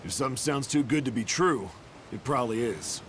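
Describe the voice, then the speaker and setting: An actor playing a wary, grumbling pirate sidekick with a dry, skeptical manner in a video game. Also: male voice, mid-range voice, expressive, fairly quick, a little hiss or hum, natural voice. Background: music.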